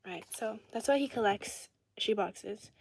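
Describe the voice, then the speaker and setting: A woman's voice: mid-range voice, measured pace, darkish tone, husky, no room sound. A young woman from Ireland hosting a casual livestream, reading viewer comments aloud and answering them in a chatty, giggly way. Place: indoor home setting in Adelaide.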